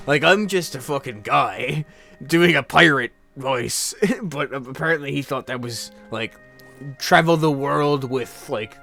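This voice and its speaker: A young man casually telling rambling anecdotes in a halting way, repeating words and often chuckling. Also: fairly high pitch, gravelly, a bit bright.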